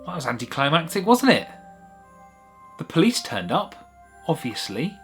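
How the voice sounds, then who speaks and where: A man's voice: fairly high pitch, huge pitch swings, normal speed, soft background music. A man narrating a whimsical short story in a wry, conversational way with little asides.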